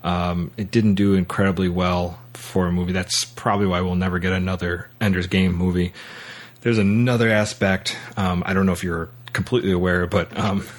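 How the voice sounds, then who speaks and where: A man with a low voice hosts a casual podcast discussion. He speaks conversationally and haltingly, often restarting and correcting himself as he works through his points. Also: little pitch movement. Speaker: podcast host. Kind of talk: casual film and book discussion.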